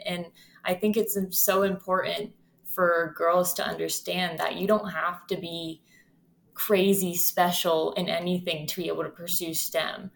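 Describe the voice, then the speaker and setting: Woman host from Ohio, lower pitch, easy conversational delivery. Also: gravelly, slight background noise. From Ohio, USA. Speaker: podcast host. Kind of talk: casual interview.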